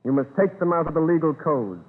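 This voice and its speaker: An actor playing a suave, teasing young suitor with a lawyerly manner in a 1930s radio drama. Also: male voice, on the high side, lively intonation, quick pace, slightly rough. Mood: earnest. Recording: narrow, tinny 1930s radio recording.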